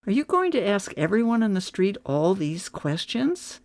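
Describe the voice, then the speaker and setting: A woman's voice: medium pitch, sing-song, moderate pace, darkish tone, no room sound, clean studio sound. A woman playing an impatient, suspicious housewife, grumbling and challenging in a scripted training-film role play. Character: impatient, suspicious housewife answering a census taker.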